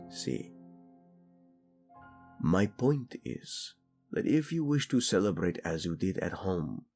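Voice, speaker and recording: A man's voice: fairly low pitch, creaky, a little mellow, heavily acted. A man playing a flirtatious, doting prince and husband in a romantic audio roleplay, speaking intimately and expressively. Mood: protective, tender.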